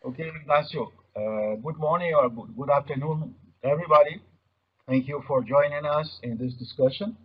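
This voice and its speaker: A very husky, breath-roughened man speaks with a Brazilian accent, as a native Portuguese speaker. He explains his material in a halting webinar presentation, often repeating words. The audio is somewhat muffled and lacking in treble. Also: on the high side. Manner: halting, repeats words while searching for phrases. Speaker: webinar presenter, mobility observatory coordinator. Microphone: online webinar connection.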